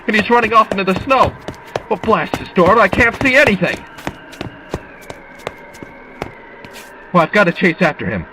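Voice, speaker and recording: A man's voice: sits high, big pitch swings, quick pace, sharp tone, slight background noise, heavily acted, rough recording. A man playing an earnest, desperate survivor hunting a killer in an audio drama, dramatically thinking aloud. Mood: urgent, tense.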